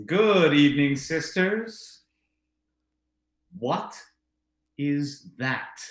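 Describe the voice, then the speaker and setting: A man's voice: fairly high pitch, lively intonation, drawn-out words, echoey room. A man playing a blustering, volatile brother in an old-time radio ghost drama, theatrical and falsely jovial. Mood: startled. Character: blustering, volatile brother hiding guilty dread.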